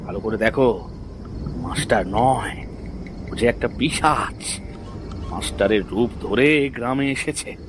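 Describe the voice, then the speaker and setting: A man playing a stern, scornful villager in a horror cartoon, forceful and accusing. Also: medium pitch, slow pace, pauses often, close to an everyday voice. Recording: clear background noise.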